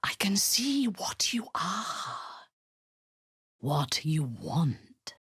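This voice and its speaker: A woman voicing a proud, ruthless drow priestess commander in a video game, commanding and menacing, often dropping to a hushed hiss. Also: on the low side, very animated, extremely slow, breathy edge, no echo, studio quality, exaggerated voice. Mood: hushed menace.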